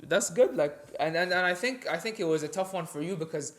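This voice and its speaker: A man's voice: fairly high pitch, brisk. A young man co-hosting a podcast, chatting casually in an earnest, reflective way. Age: young adult. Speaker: podcast co-host. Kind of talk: casual sibling podcast chat.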